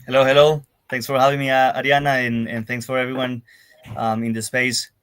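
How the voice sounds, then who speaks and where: A man from Venezuela talks as a guest in a casual interview. His voice sits at an ordinary middle pitch. He speaks haltingly and often restarts his sentences. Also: completely flat delivery, a bit bright, clear, resonant tone. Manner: halting, many restarts and fillers. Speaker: guest, security firm partnerships manager. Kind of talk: casual interview on a live audio space.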